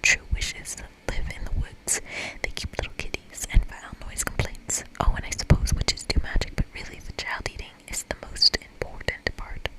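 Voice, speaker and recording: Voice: normal speed, close to an everyday voice, rough recording. A performer voicing a mean, very old forest witch in a whisper, with pompous, scolding exclamations, for an ASMR video game reading. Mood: indignant, scornful.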